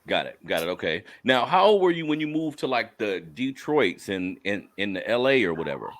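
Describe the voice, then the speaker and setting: A young man hosting a podcast, hyping up his listeners with slangy, energetic talk before interviewing a guest. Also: fairly low pitch, brisk. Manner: hyped, calls listeners family, slangy. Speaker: podcast host.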